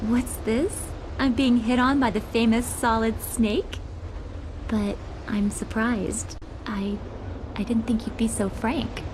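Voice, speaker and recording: An actress playing a sweet, perky young technology specialist in a video game, polite and playful. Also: female voice, fairly high pitch, steady tone, slow pace, background noise. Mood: playful, flattered. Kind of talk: dramatic video game voice acting.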